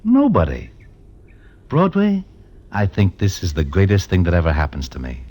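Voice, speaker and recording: Cocky small-time crook, wildly swooping voice. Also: male voice, low-pitched, huge pitch swings, moderate pace, darkish tone, exaggerated voice. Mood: cocky, confident. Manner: stilted gangster slang, insistent. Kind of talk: 1940s radio drama acting. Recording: noisy old 1940s radio recording.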